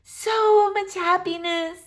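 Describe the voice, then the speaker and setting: A woman voicing a tender, sentimental lady doctor in a children's storybook, exclaiming in drawn-out phrases. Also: extremely high pitch, steady tone, fairly bright tone, pitched above the performer's own voice, heavily acted. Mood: nostalgic, joyful. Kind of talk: acted children's storybook dialogue.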